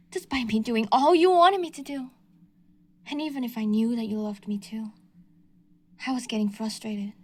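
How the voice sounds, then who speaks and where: A young woman playing an obsessive, delusional stalker in an audio roleplay, talking sweetly and menacingly and slipping into whispers. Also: fairly high pitch.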